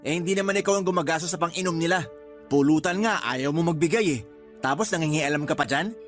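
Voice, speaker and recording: A man's voice: on the high side, fast, faint music behind, natural voice. A man acting in a Tagalog radio drama as a freeloading older brother and father who argues defensively. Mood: angry, resentful. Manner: wheedling, touchy, argumentative.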